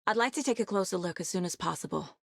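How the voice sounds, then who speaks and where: An actress playing a determined, level-headed FBI agent in a video game, speaking in a measured, serious and slightly husky voice. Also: female voice, on the low side, no echo, studio quality. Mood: businesslike, focused. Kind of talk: naturalistic dramatic game dialogue and inner monologue.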